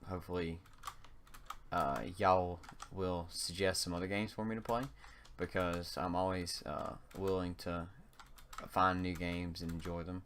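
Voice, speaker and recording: A young man from North Carolina with a slight North Carolina Southern accent, casually commenting on his gameplay in a halting way, full of um and uh fillers. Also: low voice, flat delivery, fairly bright tone, a little hiss or hum. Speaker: gaming channel host.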